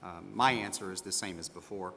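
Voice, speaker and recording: Man as defensive accountant at a mock hearing, low and stiff, insistent, reverberant hall with faint noise. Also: brisk, gravelly, bright, forceful tone, heavily acted. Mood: defensive, firm. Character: stubborn, defensive male small-firm accountant under questioning. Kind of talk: scripted dramatization of a hearing. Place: large meeting hall with sound system.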